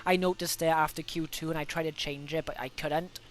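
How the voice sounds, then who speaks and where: A man's voice: a little high, fairly even intonation, brisk. A young Welsh man with a cold coming on, chatting casually through his racing game laps with plenty of fillers. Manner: chatty, self-correcting, many fillers. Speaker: gaming video host. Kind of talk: casual gameplay commentary.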